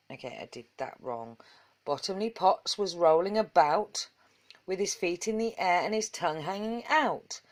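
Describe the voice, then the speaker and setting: Woman reading a kids picture book out loud, slow, rhythmic rhymey delivery. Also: lowish voice. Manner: measured, rhythmic verse delivery. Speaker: story reader. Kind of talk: children's storybook read aloud.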